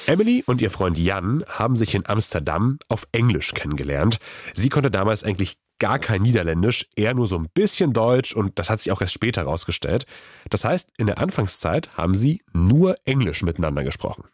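A man's voice: fairly low pitch, big pitch swings, fairly quick, no room sound, studio quality. A man whose first language is Polish, hosting a podcast with reflective, personal narration and interview questions. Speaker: podcast host.

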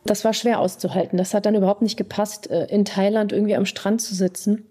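A woman psychotherapist from Iran, answering interview questions in a measured, thoughtful way with pauses and fillers. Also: medium pitch, fairly even intonation, warm tone, soft background music.

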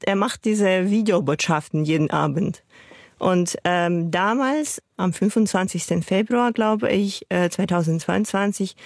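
A woman's voice: medium pitch, a little mellow, dry recording, studio quality. A woman from Ukraine, a journalist, speaking as an interview guest in a thoughtful, hesitant way with fillers. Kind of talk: casual interview talk.

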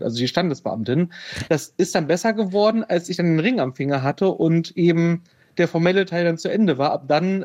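A man's voice: fairly high pitch, darkish tone. A man telling a personal story as an interview guest, hesitant, with many fillers. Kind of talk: casual storytelling. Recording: dull lo-fi sound.

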